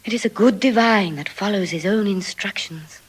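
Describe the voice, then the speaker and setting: An actress with a British accent playing a witty, world-weary young heiress in languid classical Shakespearean stage style. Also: female voice, mid-range voice, husky, natural voice, poor audio. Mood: wry. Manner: languid, sighing, deliberate.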